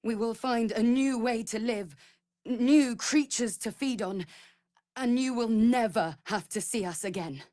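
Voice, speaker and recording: Actress doing a devoted vampire servant lady for a fantasy game, highish voice, slow and formal, anxious underneath. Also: mellow, dry recording, studio quality, natural voice. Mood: composed, insistent. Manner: formal, earnest, measured. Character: anxious, devoted female vampire spawn serving her master. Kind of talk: dramatic fantasy video game voice acting.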